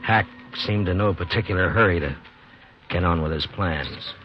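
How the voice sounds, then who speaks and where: An American man playing a stoic, weary marshal in a 1950s radio western, grim and deliberate, on a dull old recording. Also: sits low, slight background noise, close to an everyday voice. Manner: grim, deliberate, hard-boiled. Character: stoic, weary male frontier marshal narrating his ordeal. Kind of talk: 1950s radio drama narration and acting.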